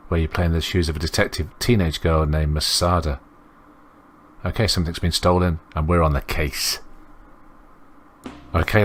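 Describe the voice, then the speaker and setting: A man's voice: deep voice, slight background noise. A man giving casual let's-play commentary as a gaming host, in a laid-back way. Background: game music.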